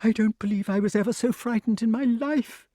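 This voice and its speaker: A man voicing a timid, fussy male grass snake who talks in a fluttery, excited way. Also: high-pitched, slow, no room sound, clean studio sound, voice pushed up. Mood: fretful.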